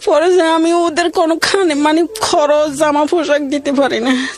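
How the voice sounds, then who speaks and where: A middle-aged woman with a colloquial regional Bangladeshi accent tells her own life story in a halting, rambling, plaintive way. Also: high voice, fairly even intonation. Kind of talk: emotional personal storytelling.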